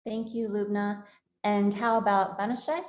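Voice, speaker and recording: A woman's voice: mid-range voice, level tone, a little mellow. Woman hosting a video call panel, roomy sound. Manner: friendly, halting with many fillers. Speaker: panel moderator.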